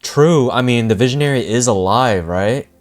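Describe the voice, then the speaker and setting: Casual male game streamer, mid-pitched. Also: lively intonation, normal speed. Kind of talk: casual reaction commentary, reads some dialogue aloud. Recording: faint game music behind.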